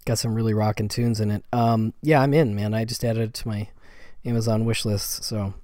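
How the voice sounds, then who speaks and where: A man's voice: fairly low pitch, steady tone, a little fast, warm tone, no room sound, studio quality. A man co-hosting a film podcast in casual conversation. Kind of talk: casual chat.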